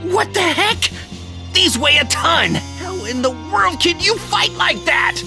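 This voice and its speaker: A woman's voice: very high voice, expressive, slow pace, creaky, over music, heavily acted. A woman playing an exasperated, short-tempered male martial artist sidekick in an anime game, with a scratchy voice. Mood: incredulous. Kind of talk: anime video game voice acting.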